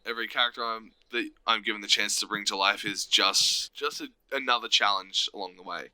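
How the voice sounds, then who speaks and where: Very bright-toned man, lowish pitch. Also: fairly even intonation, a little fast, dry recording, poor audio. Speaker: interview guest, amateur voice actor. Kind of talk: casual interview chat.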